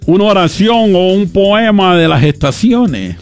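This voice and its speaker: A man playing a slow-calling schoolteacher. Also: high voice, sing-song, faint music behind, voice pushed up, heavily acted. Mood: stern. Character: stern female schoolteacher calling on a pupil.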